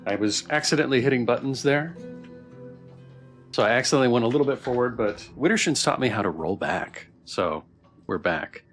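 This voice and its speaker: A man's voice: mid-range voice, lively intonation, brisk, fairly bright tone, soft background music. A man giving casual livestream commentary as he plays a game, sometimes reading choices aloud. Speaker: streamer playing a game.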